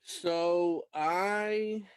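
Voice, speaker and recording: A man from Puerto Rico whose first language is Spanish, a student talking haltingly on a coaching video call with many fillers and restarts. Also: sits high, fairly even intonation, clear, resonant tone, no room sound, studio quality.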